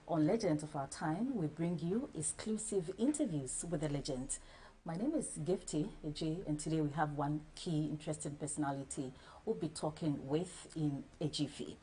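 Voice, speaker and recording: A woman's voice: sits low, warm tone, slight background noise, poor audio. A woman hosting a broadcast TV interview, reading a scripted introduction of her guest and then asking short questions. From Ghana. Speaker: TV interview host.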